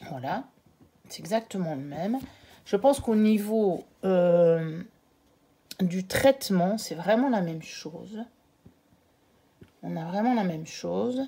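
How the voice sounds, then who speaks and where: Slow, low-voiced woman reviewing on camera. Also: animated, creaky, warm tone, husky. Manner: hesitant, many filler pauses. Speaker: channel host reviewing tarot decks. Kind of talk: casual product comparison talk.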